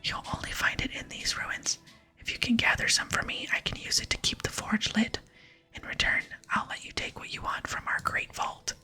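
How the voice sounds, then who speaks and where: A woman voicing a solemn, wistful last dwarf smith in a breathy ASMR whisper for a game reading. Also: moderate pace, pushed and bright. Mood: earnest, solemn. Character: solemn lonely last dwarf smith, mournful but hopeful.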